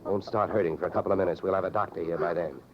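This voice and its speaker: Actor as hardboiled radio detective, dull vintage sound. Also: male voice, sits low, fairly even intonation, racing, crowd noise, natural voice. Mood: firm, urgent. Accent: American. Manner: clipped, sardonic, pushy. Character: hardboiled, wisecracking male freelance insurance investigator. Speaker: lead actor and first-person narrator. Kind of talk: 1950s American radio detective drama acting. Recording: vintage radio recording, music behind.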